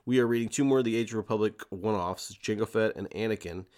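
Man, fairly low pitch, podcast host, casual fan chat, excitable, emphatic repeats. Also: fast talker.

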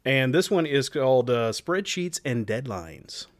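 Male co-host, mid-pitched and expressive, casual chat, dry close recording. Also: unhurried, no room sound. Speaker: podcast co-host.

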